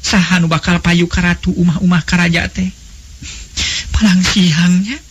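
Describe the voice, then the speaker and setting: Demanding young noblewoman, acted by a male storyteller, Sundanese accent, raised husky pitch, jealous and impatient, folk tale. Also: flat delivery, normal speed. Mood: impatient, anxious. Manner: eager, demanding.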